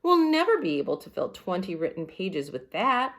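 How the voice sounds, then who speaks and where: A woman's voice: fairly low pitch, very animated, normal speed, a bit bright. Woman voicing a bossy, emphatic storybook schoolgirl. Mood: objecting. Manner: emphatic, insistent.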